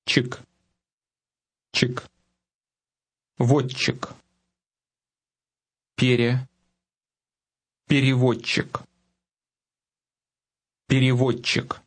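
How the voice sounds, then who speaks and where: A man modeling phrases in a language lesson, saying words slowly and breaking them into syllables. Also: medium pitch, lively intonation, husky. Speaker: native-speaker model in a lesson. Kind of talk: scripted lesson demonstration.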